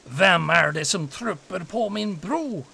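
Casting: male storyteller as big fearsome troll, loud and threatening, pitched up a touch, storybook delivery. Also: expressive, unhurried, gravelly, breathy edge, full character voice. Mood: challenging. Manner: yelling, threatening. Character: large scary male troll, menacing and yelling.

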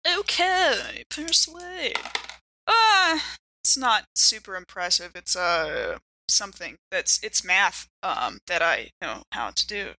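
A woman's voice: medium pitch, sing-song, takes it slowly, pushed and bright. A woman playing in an improvised tabletop role-playing show, conversational and thinking aloud. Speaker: player in a role-playing game. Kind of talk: casual improvised tabletop actual play.

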